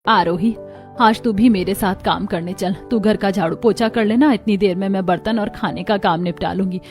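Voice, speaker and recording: A woman's voice: mid-range voice, moderate pace, darkish tone, faint music behind, close to an everyday voice. A woman playing a poor, earnest mother who works as a housemaid, speaking respectfully and pleadingly in a moral story. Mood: plain, practical. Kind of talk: dramatized Hindi moral story acting.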